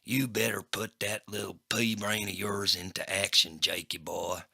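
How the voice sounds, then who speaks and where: Guy voicing a nasty sweary gangster villain, slow menacing delivery, gritty creaky voice, audio drama. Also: on the low side, little pitch movement, sharp tone, no echo, clean studio sound, heavily acted. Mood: threatening. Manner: slow, sneering, threatening. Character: menacing, foul-mouthed male gangster thug, coldly threatening. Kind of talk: comedy sci-fi audio play villain.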